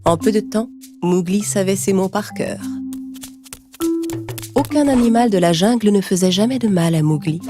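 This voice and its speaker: A woman narrating a scripted children's story in a measured storytelling manner. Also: lowish voice.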